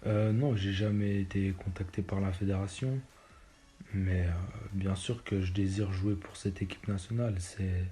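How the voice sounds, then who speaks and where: A man's voice: low-pitched, fairly even intonation, normal speed, over music. A young man in his twenties from Belgium, answering interview questions casually and hesitantly, with many fillers and restarts. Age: 20s. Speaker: footballer interviewee.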